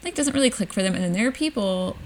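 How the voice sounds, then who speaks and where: Upbeat woman in her thirties, podcast co-host, gravelly fry, chatty filler words. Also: mid-range voice, expressive, fast talker. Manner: upbeat, enthusiastic, lots of "like" and "um". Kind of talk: casual chat. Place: living room couch at home.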